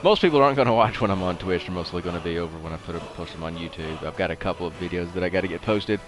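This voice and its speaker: A man's voice: deep voice, racing. A man with a congested, sniffly head cold, giving casual, rambling game commentary as the host of a livestream.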